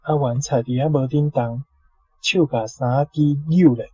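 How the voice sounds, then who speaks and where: Male reader of prepared lines, mellow and husky, muffled lo-fi clip. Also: medium pitch, fairly even intonation, measured pace, no echo. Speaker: guest giving prepared translations. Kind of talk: reading prepared sentences. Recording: dull, lo-fi recorded clip.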